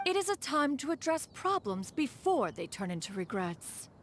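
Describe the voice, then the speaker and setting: An actress playing a proud, fussy immortal master in a video game, speaking in formal, archaic, imperious diction. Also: female voice, high voice, sing-song, moderate pace, fairly bright tone, a little hiss or hum. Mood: reflective.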